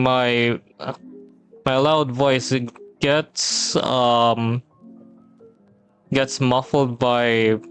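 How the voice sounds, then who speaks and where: A young man from the Philippines chatting casually on a drawing stream, relaxed and rambling with many pauses and fillers. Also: mid-range voice, smooth. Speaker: streamer and teacher. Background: soft background music.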